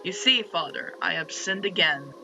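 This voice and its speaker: A man voicing a wounded, penitent young girl in a visual novel, halting and apologetic. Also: fairly high pitch, lively intonation, creaky, bright, forceful tone, soft background music, exaggerated voice, low-quality recording.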